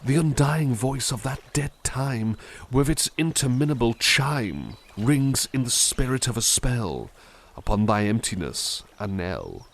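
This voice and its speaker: A man voicing a kind-hearted, caring butler, reading poems softly and musing gently between them in a close, intimate roleplay. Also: mid-range voice, very animated, a little slow, exaggerated voice. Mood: reciting. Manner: soft-spoken, gentle, reflective asides. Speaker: ASMR roleplay performer. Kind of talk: ASMR roleplay poetry reading.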